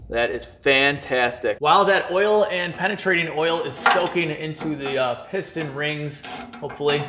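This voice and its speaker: A man's voice: on the high side, moderate pace, very noisy. A man in his thirties in a casual repair vlog, talking while he works under a car on a lift in a garage and filming on a handheld camera held close. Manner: chatty, exasperated asides, talks while working. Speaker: car restoration YouTuber. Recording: echoey garage.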